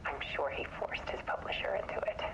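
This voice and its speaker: An actress playing a haunted, weary photographer who gives a subdued, halting, somber confession in a dramatic video game monologue. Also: female voice, close to an everyday voice. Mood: wistful. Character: haunted woman photographer recounting her troubled relationship, weary and bitter. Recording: dull lo-fi sound with clear noise.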